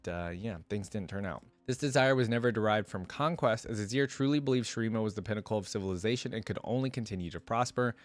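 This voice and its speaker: A man hosting a reaction video, casual and informal, reading aloud and thinking out loud with asides. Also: medium pitch, no room sound.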